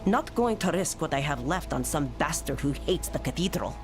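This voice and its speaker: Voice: mid-range voice, expressive, moderate pace, music in the background, natural voice. An actor playing a jaded knight commander in a video game, blunt and dismissive. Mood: curt, irritated. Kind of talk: dramatic video game acting.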